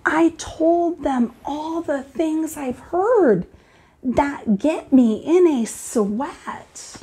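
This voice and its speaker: A woman teacher reading aloud and explaining to children in an expressive, deliberate, instructive way. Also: sits high.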